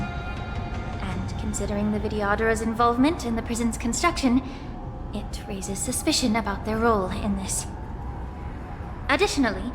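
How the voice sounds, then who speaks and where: A woman acting as a principled, guarded official in a fantasy game. Her voice sits fairly high, with formal, articulate and careful phrasing. It rings with long reverb over a music track. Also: natural voice. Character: poised, principled woman healer-official, politely guarded and analytical.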